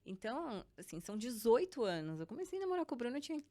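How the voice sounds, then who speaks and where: A woman's voice: a little high, very fast. A Brazilian woman in her thirties, a guest co-founder chatting casually on a podcast and telling stories with acted-out dialogue. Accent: Brazilian Portuguese. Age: 30s. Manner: lively storytelling, acts out dialogue, frequent laughter.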